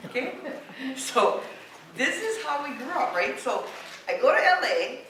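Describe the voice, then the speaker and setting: A woman's voice: fairly high pitch, bright, forceful tone. A middle-aged woman from Hawaii with a Hawaiian local accent, telling personal stories informally to an audience, unapologetic and conversational, often checking in with short tag questions. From Hawaii, United States. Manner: unapologetic, conversational, peppered with "right?" and "okay?". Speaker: speaker giving a talk. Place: university classroom. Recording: very echoey room, distant mic, clear noise.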